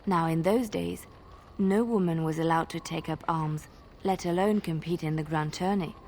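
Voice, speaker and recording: Low-pitched female bard storyteller. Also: slight background noise. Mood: earnest storytelling. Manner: measured, expressive storyteller. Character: gentle young female bard who loves telling tales. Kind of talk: video game dialogue, fairy-tale storytelling.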